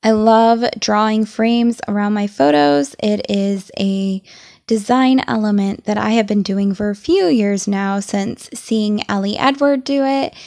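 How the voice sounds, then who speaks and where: A young woman talks through her craft steps in a chatty, step-by-step voice-over. Her voice is a little raspy and gravelly today. She sits at a middling pitch. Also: a little mellow. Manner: chatty, enthusiastic, explains each step. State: losing her voice, slightly raspy. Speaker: journaling vlogger.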